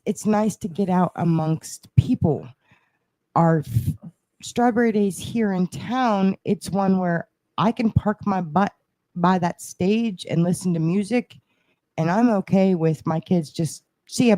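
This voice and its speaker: A woman's voice: fairly low pitch, a little slow, warm tone, no echo. A woman in her forties co-hosting a podcast, chatting casually and earnestly about family traditions. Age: 40s. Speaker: podcast co-host. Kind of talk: casual chat.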